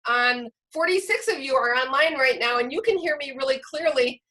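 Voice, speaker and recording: A woman presenting an online teacher training, speaking loudly and encouragingly as she explains and answers questions informally. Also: high-pitched, animated, moderate pace. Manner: loud, projected, encouraging. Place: online video call.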